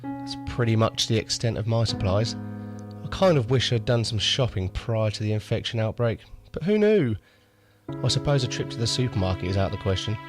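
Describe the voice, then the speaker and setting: Man acting a low-voiced wry survivor. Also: expressive, fairly quick, over music, close to an everyday voice. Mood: wry, resigned. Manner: wry, understated. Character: wry male survivor and amateur radio host. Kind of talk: audio drama diary monologue.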